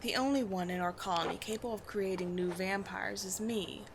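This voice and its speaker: A woman playing a haughty, commanding vampire queen in a roleplay audio drama, speaking in deliberate, theatrical phrases with disdain for humans. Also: medium pitch, vocal fry, background noise, lightly acted. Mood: cool, curious.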